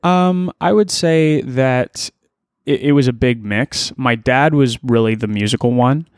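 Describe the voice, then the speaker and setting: A man's voice: medium pitch, a little slow, smooth, dry recording, studio quality. A young man from Ontario, a musician guest on a podcast, rambling and hesitant with many restarts. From Ontario, Canada. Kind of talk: casual podcast interview.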